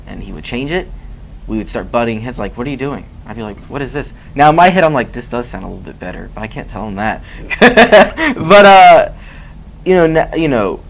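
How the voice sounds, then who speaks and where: A man's voice: mid-range voice, slight background noise, rough recording. A man, a musician guest, chatting casually in an interview, rambling with many fillers.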